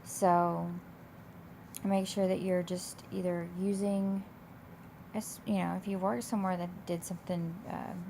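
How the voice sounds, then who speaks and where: A woman instructor with a slightly raspy, hoarse voice, explaining coursework in a casual, loosely phrased, conversational way. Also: lowish voice, little pitch movement, measured pace, warm tone. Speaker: college instructor. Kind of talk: informal explanation of course assignments. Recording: clear background noise.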